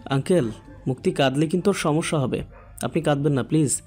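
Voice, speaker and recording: An actor playing a patient, reassuring young doctor in a Bengali audio story. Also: male voice, fairly high pitch, a little fast, a little mellow, close to an everyday voice. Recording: music under the voice.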